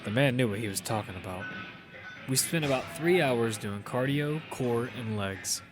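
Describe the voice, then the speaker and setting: A man's voice: mid-range voice, lively intonation, moderate pace, lightly acted. Man acting as casual young guy over music. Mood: narrating. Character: casual young male gamer, confident teasing friend. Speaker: narrator and lead character. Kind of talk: first-person audio fiction narration and dialogue.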